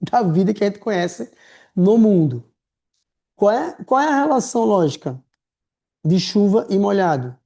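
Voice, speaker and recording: A man teaching a writing class in a lively, slangy, chatty way, calling his students affectionate names. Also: high voice, measured pace, vocal fry, pauses often. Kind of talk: casual recorded lecture.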